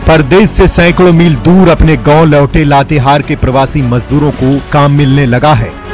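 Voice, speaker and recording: A man delivers scripted news narration. His voice sits fairly high, with faint music running underneath. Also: steady tone, normal speed. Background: faint music bed.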